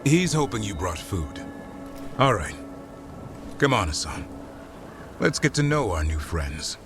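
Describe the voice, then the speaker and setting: Game role: low, expressive, wry warden, with a score behind. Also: male voice, a little slow, music in the background. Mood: dry. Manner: dry, wry, commanding. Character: gruff male warden and griffin keeper. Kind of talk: video game cutscene acting. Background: orchestral score.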